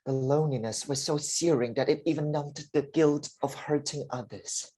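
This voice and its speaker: A man playing a weary, dying father in a staged play reading, speaking deliberately and with open emotion. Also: fairly high pitch, mellow, natural voice. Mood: tender, remorseful. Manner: slow, deliberate, emotive delivery. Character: dying, confined father, weary, pleading and confessional.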